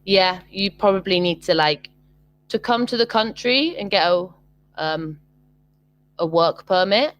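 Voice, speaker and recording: A woman's voice: medium pitch, expressive, a little slow, long pauses, no echo. A young British woman tutoring over a video call, chatting casually with frequent ums and restarts. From Britain. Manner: hesitant, many ums and restarts. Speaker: online English tutor.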